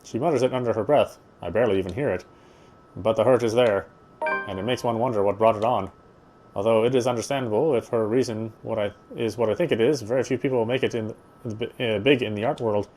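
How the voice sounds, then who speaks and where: A man's voice: fairly low pitch, fairly even intonation, fast, a little mellow, slight background noise. Man reading a visual novel aloud. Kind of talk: read-aloud narration with casual asides.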